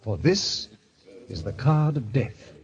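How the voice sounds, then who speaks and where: An actor playing a suave, sinister middle-aged club president in old radio style, with pompous, florid, genteel phrasing. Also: male voice, mid-range voice, sing-song, slow. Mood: suave, savoring. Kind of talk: 1940s radio acting. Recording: dull, lo-fi vintage radio recording.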